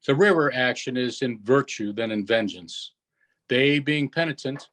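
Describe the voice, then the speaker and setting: Man playing old sorcerer, commanding, stern but merciful, slow declamatory verse, stage play reading, medium pitch. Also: lightly acted. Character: commanding old male sorcerer, stern yet softening to mercy.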